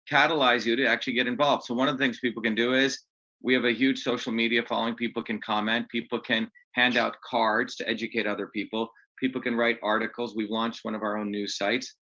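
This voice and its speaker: A man's voice: medium pitch, bright, forceful tone, muffled recording. A man hosting an online open house meeting in a casual, upbeat way, often restarting his sentences. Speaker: host.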